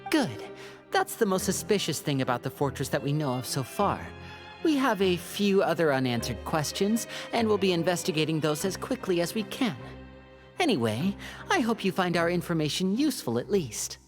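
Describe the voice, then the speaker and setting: A woman voicing a young male magician agent in a video game, polite, composed and calculating, with measured speech. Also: lowish voice, very animated. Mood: polite, helpful. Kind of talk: video game character acting. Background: game music.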